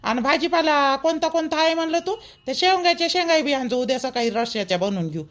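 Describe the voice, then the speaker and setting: Woman acting a matter-of-fact mother-in-law, high voice. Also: lively intonation, fast talker, fairly bright tone. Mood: matter-of-fact, directing. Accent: Vidarbha Marathi. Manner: matter-of-fact, directing, permissive. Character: bossy but easygoing middle-aged village mother-in-law. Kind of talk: village cartoon dialogue.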